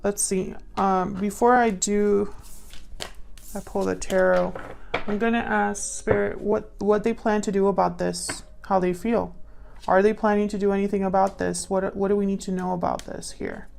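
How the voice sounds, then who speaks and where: A woman giving a casual intuitive tarot reading to viewers, musing and pausing as she thinks aloud. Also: medium pitch, level tone, gravelly, a little mellow, slight background noise. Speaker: tarot reader.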